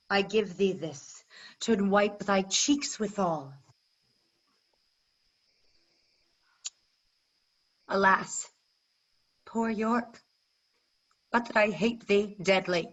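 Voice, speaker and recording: Actress as vengeful queen doing shakespeare, slow n mocking, muffled video call audio. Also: mid-range voice, a bit bright. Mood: cruel gloating. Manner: taunting, declamatory, deliberate. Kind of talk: staged reading of Shakespearean verse.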